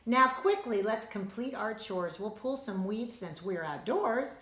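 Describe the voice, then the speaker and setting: Storybook handyman helper, played by a woman: bossy, jolly, chirpy, very animated delivery, roomy sound. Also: mid-range voice, measured pace. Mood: encouraging. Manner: chirpy, exclamatory, coaxing. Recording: roomy, echoing indoor sound.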